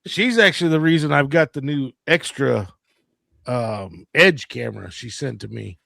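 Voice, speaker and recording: A man's voice: medium pitch, normal speed, gravelly, bright, forceful tone, no room sound. A middle-aged man talking casually in a panel chat.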